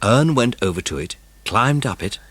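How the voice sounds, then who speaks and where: A male narrator reads a scripted children's story. His voice sits at a medium pitch and is recorded very dry and close. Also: animated, moderate pace, fairly bright tone, husky, no echo.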